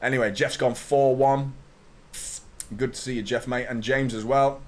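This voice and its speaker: Slightly hoarse man ranting. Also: medium pitch, steady tone, normal speed. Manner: opinionated, ranting, greets viewers by name. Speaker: host of a football fan watchalong. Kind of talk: live stream chat and commentary.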